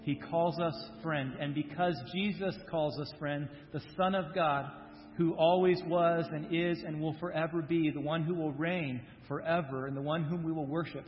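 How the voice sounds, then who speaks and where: A man's voice: a little high, a little hiss or hum. A man preaching in an emphatic way, repeating his key phrases for weight. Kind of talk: sermon. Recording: dull sound, echoing in a large hall.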